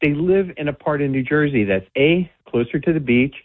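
A man's voice: a little high, a little fast, dry recording. A man calling into a radio show who shares his opinions confidently. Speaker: radio caller. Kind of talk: casual phone-in opinion. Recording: phone line.

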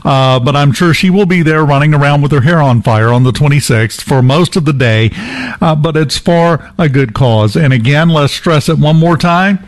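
A man hosts a relaxed radio interview, his voice sitting a bit high for a man. He talks emphatically and jokes easily, leaning into the points he wants listeners to remember. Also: moderate pace. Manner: emphatic, animated, joking. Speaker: radio host.

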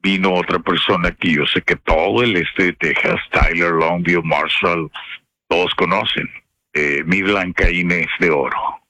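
A man from northern Mexico with a northern Mexican accent, a radio broadcaster telling anecdotes in a casual interview with expressive, slangy speech. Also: low-pitched, unhurried, no room sound. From Piedras Negras, Mexico. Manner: colloquial, expressive storyteller with slang.

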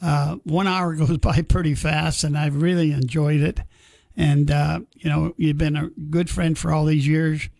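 Gravelly American man hosting, fairly monotone. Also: on the high side, measured pace, no echo, clean studio sound. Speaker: radio interview host. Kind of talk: casual interview chat.

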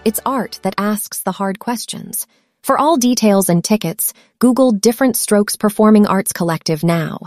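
A woman's voice: medium pitch, moderate pace, darkish tone, dry recording, studio quality. Woman reading a radio ad over music. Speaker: ad announcer. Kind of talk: scripted radio ad. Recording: music bed behind.

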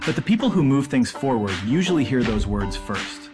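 A man's voice: mid-range voice, big pitch swings, normal speed. Earnest male ad voice over music. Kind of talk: scripted ad narration. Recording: music bed behind voice.

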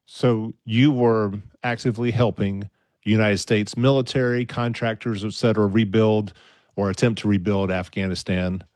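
A low-voiced man hosts an interview show, prompting his guest with encouraging questions. He stumbles into a few restarts along the way. His voice is recorded dry and close, with no room sound. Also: steady tone, moderate pace, dry recording. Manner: warm, encouraging, with hesitant restarts. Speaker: radio show host.

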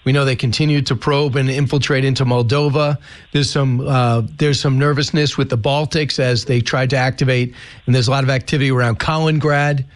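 A man's voice: medium pitch, little pitch movement, fairly quick. A man hosting a talk radio show, asking guests conversational, leading questions.

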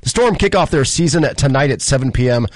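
A man's voice: mid-range voice, animated, quick pace, no room sound. A man co-hosting a local radio news show, opinionated and wry, also reading sports headlines. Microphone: studio microphone.

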